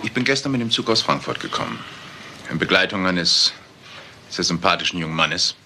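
Low-voiced, suave gentleman. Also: animated, fairly quick, slight background noise, full character voice. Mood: composed, suave. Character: smooth, self-assured gentleman with a secret. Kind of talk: German radio crime drama acting.

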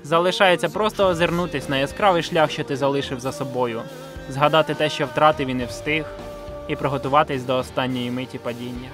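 A man's voice: medium pitch, fairly even intonation, fairly quick. A man narrating a scripted video essay in a reflective, sentimental way, with casual slang and some swearing. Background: music behind the voice.

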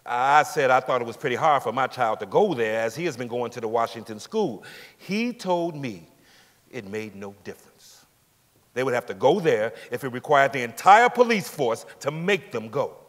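A man's voice: medium pitch, expressive, moderate pace, lightly acted. A man playing an aggrieved, steadfast father testifying in a staged courtroom drama reading. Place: large theater stage, indoors. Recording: hall reverb from a theater stage.

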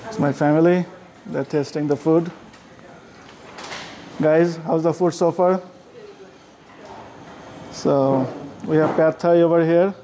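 Man vlogging over steady background noise. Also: on the high side, slow pace, long pauses. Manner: friendly, simple repetitive praise. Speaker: travel vlogger. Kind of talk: casual walk-and-talk food vlog. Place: restaurants and streets, indoors and outdoors. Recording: noisy handheld camera audio.

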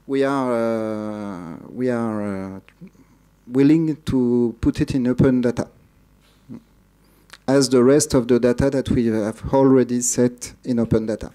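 Hesitant man with a mellow voice. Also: mid-range voice, fairly even intonation, a little slow. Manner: hesitant, many uh fillers and restarts. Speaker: conference speaker. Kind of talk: conference Q&A answers. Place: large dark conference hall.

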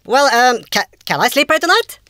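High, bright young traveller. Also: sing-song, a little fast, no echo, clean studio sound, exaggerated voice. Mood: puzzled. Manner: hesitant, polite. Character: young male traveller, tired, polite, bewildered. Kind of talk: comic animated fairy tale.

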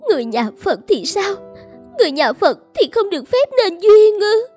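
A lovesick young schemer in a cartoon folk tale. Her voice is very high and bright, swinging widely as she pleads and coaxes in a plaintive, coquettish way. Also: very animated, normal speed, soft background music. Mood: clingy, insistent. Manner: plaintive, coquettish, emotionally dramatic. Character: lovesick, scheming young woman feigning helpless distress. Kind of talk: Vietnamese animated folk tale dubbing.

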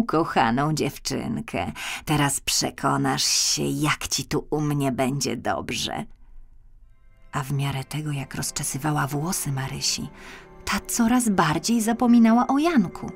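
Female children's audiobook narrator: slightly low pitch, measured, expressive storytelling. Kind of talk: scripted children's fairy-tale narration.

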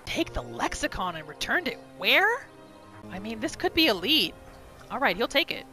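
A woman narrates her video game roleplay in a high, bright voice. Her delivery is animated and reactive, with wide pitch swings. Soft music sits behind her. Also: normal speed. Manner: reactive, narrates her character in third person. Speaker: gaming video host. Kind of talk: roleplay gameplay commentary.

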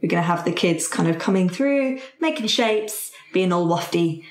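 Female tabletop player, gently low register, chatty improvised narration, reverberant room. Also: expressive, normal speed. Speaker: player in a roleplaying podcast. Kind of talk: casual improvised storytelling. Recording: echoey room.

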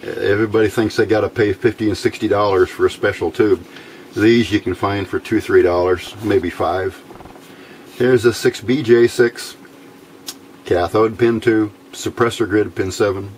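A man giving an informal, unscripted hands-on tutorial in a plain-spoken, halting way, recorded indoors at a workbench on a camera mic. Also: fairly low pitch, steady tone, slight background noise. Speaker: host of a hands-on electronics tutorial.

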